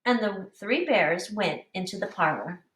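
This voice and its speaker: A woman teacher reading a picture book aloud to young children with deliberate, explanatory expression. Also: medium pitch, lively intonation, fairly bright tone. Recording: echoey room, distant mic.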